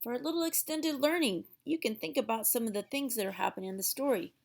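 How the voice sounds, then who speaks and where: A woman's voice: on the high side, fast talker, vocal fry, darkish tone. A woman reading a storybook aloud for young children in a theatrical way, drawing out words for emphasis.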